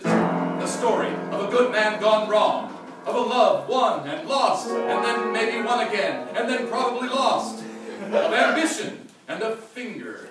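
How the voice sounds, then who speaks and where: A man narrating a live comedy radio play in a mock-dramatic scripted style. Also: high-pitched. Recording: echoey live room, distant mic, music behind. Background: music.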